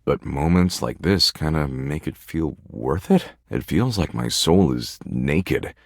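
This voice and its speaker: Jaded slacker dread spirit, deep voice. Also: male voice, animated, slow pace, no room sound, clean studio sound, heavily acted. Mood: sincere, vulnerable. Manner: flippant, slangy, laid-back, bored drawl with swooping emphasis. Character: jaded, foul-mouthed slacker embodiment of existential dread. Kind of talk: video game character voice lines.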